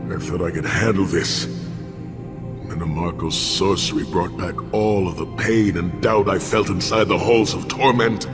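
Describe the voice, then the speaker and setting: A man's voice: sits low, exaggerated voice. An actor playing a grave, stoic warrior in a fantasy game, solemn and formal in his wording. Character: weary, stoic warrior haunted by torture, resolute and grave. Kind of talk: fantasy video game acting. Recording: long reverb, music behind the voice.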